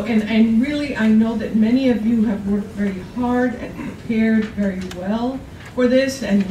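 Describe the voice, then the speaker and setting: A middle-aged woman, a college provost, giving an informal welcome speech. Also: mid-range voice. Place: college classroom at a podium. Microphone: distant camera. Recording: echoey room, distant mic, clear noise.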